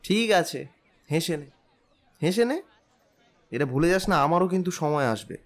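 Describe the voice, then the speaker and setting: A man's voice: a little high, expressive, measured pace. A man playing an impatient, easily exasperated young bridegroom in an audio story. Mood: annoyed.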